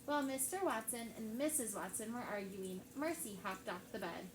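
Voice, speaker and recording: A woman teacher reading a picture book aloud to her class with lively character voices. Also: a little high, moderate pace. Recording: echoey classroom with background noise.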